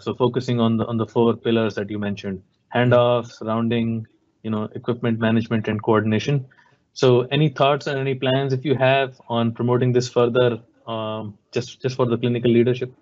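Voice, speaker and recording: A man with a medium-pitched voice moderates an online Q&A, reading out and relaying questions. The sound is a little muffled, like conference call audio. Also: a little mellow.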